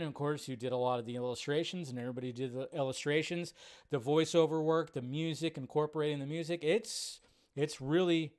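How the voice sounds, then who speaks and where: A man's voice: fairly high pitch, smooth. A man hosting a live stream with casual, enthusiastic, rambling commentary over a video.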